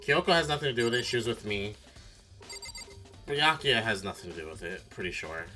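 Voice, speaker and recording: A man's voice: fairly low pitch, animated, brisk, bright, forceful tone, soft background music. A man streaming a game with casual commentary, reasoning aloud in a blunt, speculative way with casual swearing. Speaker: streamer playing a mystery game.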